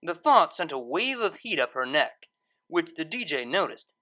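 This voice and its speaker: A woman reading scripted fiction as narrator in an expressive storytelling manner. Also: low-pitched, moderate pace.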